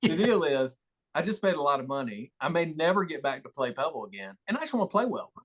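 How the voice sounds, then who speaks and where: A man's voice: fairly high pitch, sing-song, fast talker. A man chatting casually and telling stories as a guest on a podcast. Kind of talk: casual chat, storytelling.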